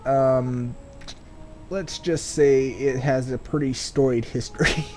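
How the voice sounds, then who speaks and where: A man's voice: mid-range voice, steady tone, slow pace, a little mellow, slight background noise. A man doing casual game commentary and reading game text aloud, sardonic and free with swearing. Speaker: let's player.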